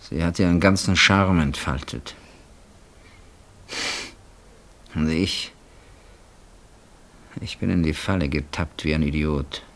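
An actor playing a bitter, sardonic older husband who has been betrayed, speaking with brooding pauses in a German radio play. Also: male voice, rumbling low, fairly bright tone, exaggerated voice. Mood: bitter reminiscence.

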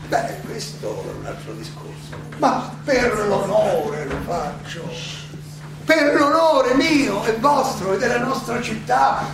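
A man acting an older, proud and ironic philosopher defending himself at trial in a halting, rhetorical stage monologue. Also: high voice, creaky, full character voice. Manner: halting, rhetorical questioning with dramatic pauses and emphatic swells. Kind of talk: theatrical stage monologue, courtroom oration. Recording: very echoey distant stage recording, noisy.

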